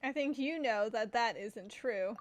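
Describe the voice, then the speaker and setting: Expressive young woman with a high voice. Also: a little slow. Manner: gushing, expressive, giggly. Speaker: gaming streamer. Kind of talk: casual game playthrough reaction commentary.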